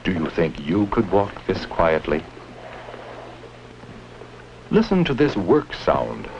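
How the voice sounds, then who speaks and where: A man's voice: low-pitched, big pitch swings, slow pace, gravelly, a little mellow. A man reading scripted narration for a 1950s educational film, instructing children with simple questions. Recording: muffled, noisy 1950s educational film soundtrack.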